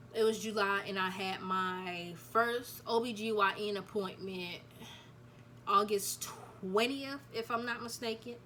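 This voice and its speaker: A woman's voice: medium pitch, pushed and bright, slight background noise. A nineteen-year-old woman, chatting casually to camera and repeating herself, telling viewers "y'all" about her news. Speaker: vlogger. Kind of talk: casual storytime chat to camera.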